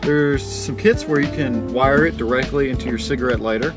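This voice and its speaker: A man's voice: medium pitch, a little fast. Young man explaining over music. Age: 20s. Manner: casual, addresses viewers as guys. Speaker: host. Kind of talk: how-to vlog, explanatory talk to camera. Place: outdoors by and inside a parked or moving car.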